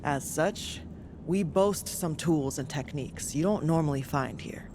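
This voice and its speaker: A man playing a brisk, candid foreign-born carpenter who leads a travelling crew in a video game cutscene. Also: on the high side, noisy background. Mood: earnest. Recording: game music behind the voice.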